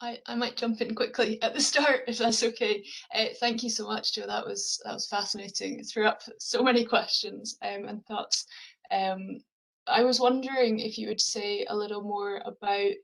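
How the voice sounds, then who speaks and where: A woman's voice: mid-range voice, fairly even intonation, moderate pace, vocal fry, rough recording. A woman audience member politely and tentatively putting a casual question at an online talk.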